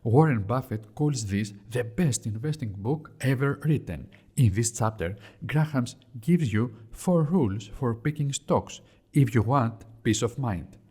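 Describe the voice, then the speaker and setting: A man with a Greek accent talks through a classic investing book as a scripted lesson. His voice is husky and sits at an ordinary middle pitch, and his tone stays plain and direct. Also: animated, measured pace, mellow. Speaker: host of investing book series. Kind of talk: scripted explainer narration.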